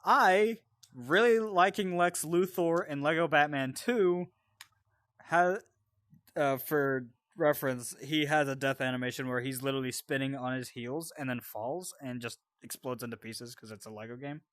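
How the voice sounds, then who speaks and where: A man casually telling a personal story in an animated, sweary way, often wandering off into side details. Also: mid-range voice, moderate pace, no room sound, studio quality. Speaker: storyteller and co-host.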